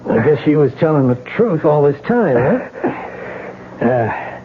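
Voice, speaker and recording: An actor playing a steady, decent police lieutenant in a 1970s radio drama, plainspoken and matter-of-fact. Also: male voice, a little high, slow pace. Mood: friendly, relieved. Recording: muffled, noisy vintage radio broadcast.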